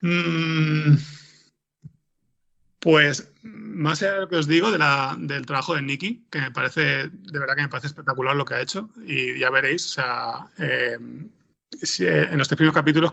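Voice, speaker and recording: A man's voice: a little high, creaky, bright, forceful tone. A man with a Spanish accent from Spain giving casual interview answers, hesitantly searching for his words. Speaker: interview guest, audio fiction screenwriter.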